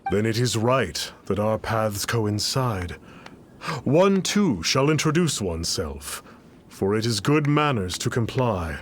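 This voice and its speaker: A man's voice: lowish voice, sing-song, slow pace, slight background noise. An actor playing a pompous, self-important male sage in a video game, speaking in formal, archaic phrases. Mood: grand, self-important. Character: pompous self-styled immortal male sage, a boastful charlatan. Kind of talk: theatrical game dialogue. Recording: game ambience behind the voice.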